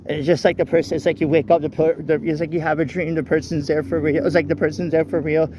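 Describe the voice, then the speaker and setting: A man rambling casually and haltingly into a handheld phone as he walks outdoors, often repeating his phrases. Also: a little high, level tone. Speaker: vlogger. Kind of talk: casual rambling walk-and-talk chat. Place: outdoors walking along a street at night. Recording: noisy outdoor phone, traffic ambience. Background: vehicles and street ambience.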